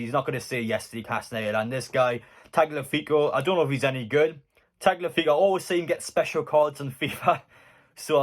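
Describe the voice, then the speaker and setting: Guy doing casual football news chat, fan youtuber type, opinionated, normal mid pitched voice. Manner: conversational, opinionated.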